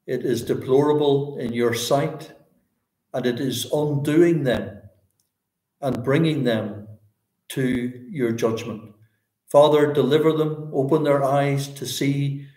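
A man in his sixties with a Northern Irish accent, a pastor praying aloud in a solemn, earnest way, pausing phrase by phrase. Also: mid-range voice, fairly even intonation, darkish tone. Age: 60s. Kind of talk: extempore public prayer, church service. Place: indoors, small bare-walled room. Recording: echoey room.

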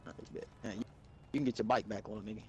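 Quick, gravelly man chatting in street slang. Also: on the low side, fairly even intonation, darkish tone, highs cut off. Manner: slangy, swearing. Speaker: gamer playing a gang member in online roleplay. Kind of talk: in-character casual street chat. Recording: noisy game audio with engine sounds. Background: game vehicle noise.

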